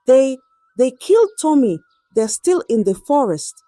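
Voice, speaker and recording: Woman acting a shaky, high-pitched young male student. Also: big pitch swings, measured pace, mellow, no room sound, studio quality, faint music behind. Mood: shaken grief. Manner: trembling, urgent. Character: shaken, fearful young male student escaping kidnappers. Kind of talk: dramatic storybook acting.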